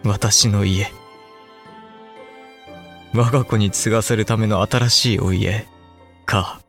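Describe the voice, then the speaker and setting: A man's voice: on the low side, steady tone, slow pace, creaky, a bit bright, faint music behind, exaggerated voice. A male actor playing an earnest young samurai lord and new father, speaking formal, archaic Japanese in a historical audio drama. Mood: wistful.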